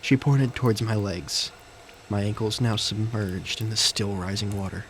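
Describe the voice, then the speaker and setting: A man giving scripted first-person dramatic narration in deliberate, short phrases. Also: fairly low pitch, poor audio. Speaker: narrator and character of an audio story. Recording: faint background noise and ambience.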